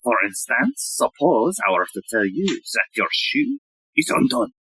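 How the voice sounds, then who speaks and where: A woman playing a bumbling, excitable police inspector, a man, in a blustering, theatrical audio play style. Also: very deep voice, unhurried, slight background noise, exaggerated voice, poor audio. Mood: sly.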